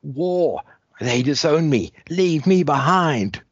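A man voicing a young female alien outcast who speaks in broken, halting phrases. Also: on the high side, animated, no room sound, voice pushed up. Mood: desperate. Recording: dull lo-fi dry recording.

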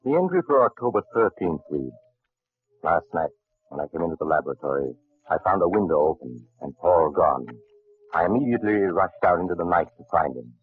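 A man acting a grave, formal doctor who narrates uncanny tales in an old radio drama, speaking deliberately. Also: low-pitched, expressive, faint music behind. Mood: grave, ominous. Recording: muffled old radio broadcast.

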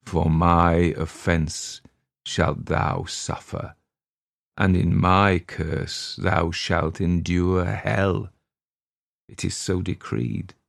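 A man voicing a merciless, grizzled old man who lays curses in slow, commanding, archaic storybook speech. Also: deep voice, level tone, gravelly, exaggerated voice. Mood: grim decree.

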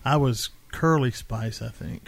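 A man's voice: mid-range voice, a little slow, gravelly. A man co-hosting a podcast in casual banter with friends, with a teasing, argumentative way of talking. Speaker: podcast co-host.

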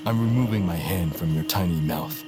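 A man acting as a stiff, literal-minded fugitive with a low voice. He talks formally and drily in clipped deadpan, over loud audio-drama music. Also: moderate pace, natural voice. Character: stiff, literal, dry-humoured male fugitive.

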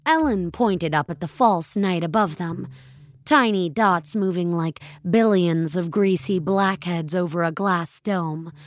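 A woman's voice: lowish voice, unhurried. A woman reading scripted audio fiction as a dramatic narrator.

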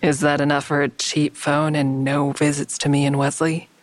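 A persistent amateur sleuth played by a woman with a notably low voice, in a dry close recording. She is wry and matter-of-fact as she presses her case in naturalistic audio drama dialogue. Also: level tone, normal speed, no room sound, natural voice. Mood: persistent, bargaining. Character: wry, persistent female amateur investigator bargaining with police.

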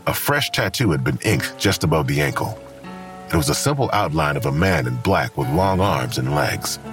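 A man with an American accent narrating a crime audio drama as a grave, detached storyteller in a measured way. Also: extremely low pitch, big pitch swings, heavily acted. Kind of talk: scripted noir crime narration. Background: faint music.

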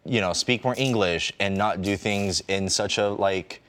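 A man's voice: lowish voice, measured pace, fairly bright tone. A man chatting casually on a podcast, telling a rambling story with lots of fillers. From United States. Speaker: podcast co-host. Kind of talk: casual chat.

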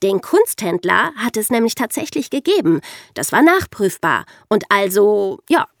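A woman's voice: medium pitch, big pitch swings, moderate pace, creaky, no echo. Woman explaining on a dry-sounding podcast. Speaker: podcast host.

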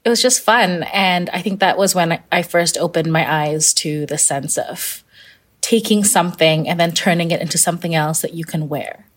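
A woman's voice: on the low side, moderate pace. Thoughtful young woman from Malaysia. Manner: thoughtful, many fillers and restarts. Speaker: fashion designer guest. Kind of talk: casual interview answers.

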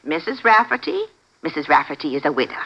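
Fretful, animated New boarding house mama. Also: female voice, low-pitched, fast, exaggerated voice. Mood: welcoming. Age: middle-aged. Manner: emotional, folksy, fretful phrasing. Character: anxious, motherly boarding house proprietress with a grown son. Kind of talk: 1950s radio drama acting. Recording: muffled vintage radio broadcast.

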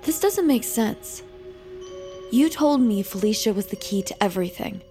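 A woman's voice: mid-range voice, lively intonation, normal speed, mellow, natural voice. Casting: woman playing troubled young woman, defiant yet hesitant, mid-range voice, audio drama with faint music. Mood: confused, alarmed. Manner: hesitant, emotional. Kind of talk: audio fiction drama acting.

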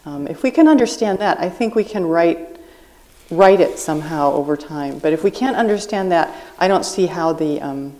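A woman guest speaks at a live podcast taping on a festival stage. Her voice is low and mellow. She explains her point earnestly through an extended metaphor. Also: expressive, normal speed. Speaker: guest writer.

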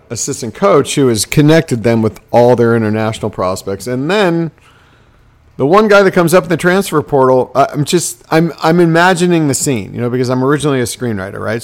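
A man with a gravelly, creaky voice at a middling pitch co-hosts a casual sports podcast. He chats in an animated, bantering way.

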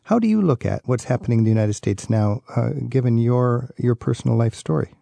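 A man's voice: mid-range voice, brisk, creaky, mellow, no echo. A man hosting a radio travel show, asking questions in a friendly, curious way. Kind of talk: radio interview.

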